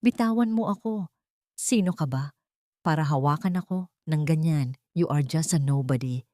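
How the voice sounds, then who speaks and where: A woman's voice: sits low, moderate pace, mellow, no echo, studio quality, natural voice. A woman storyteller voicing a haughty, cruel rich woman with scornful, imperious delivery. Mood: scornful fury.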